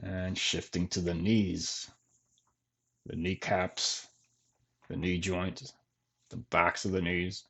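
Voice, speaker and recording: A man leading a guided body scan meditation in gentle, measured phrases with long pauses between them. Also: low-pitched, little pitch movement, gravelly, slightly rough. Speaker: meditation teacher.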